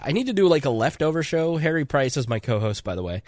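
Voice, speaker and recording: A man's voice: on the high side, very animated, quick pace, a little mellow, no room sound. Congested man hosting a podcast. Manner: rambling, self-interrupting, chatty. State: congested from a cold. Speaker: podcast host. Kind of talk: casual podcast chat.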